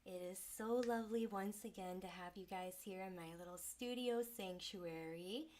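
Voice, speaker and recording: Gentle woman hosting a healing livestream, kinda stuffy nose, slow and soft. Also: mid-range voice, a little hiss or hum. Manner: soft, soothing, unhurried delivery. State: slightly congested. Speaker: host and sound healer. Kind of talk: livestreamed spiritual talk. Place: small home studio.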